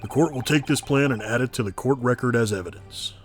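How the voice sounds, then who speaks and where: A man's voice: medium pitch, brisk, soft background music, close to an everyday voice. Man putting on a pompous, imperious courtroom judge. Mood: stern. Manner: pompous, formal, commanding. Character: imperious, easily bewildered male courtroom judge. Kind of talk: dramatic video game voice acting.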